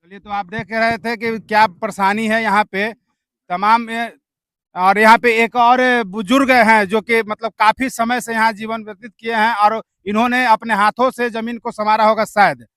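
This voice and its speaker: A man's voice: high voice, level tone, moderate pace, sharp tone, no echo. A man with a Bihari accent doing on-location interviews and commentary to camera, repeating himself and searching for words with fillers. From India. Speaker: field news reporter.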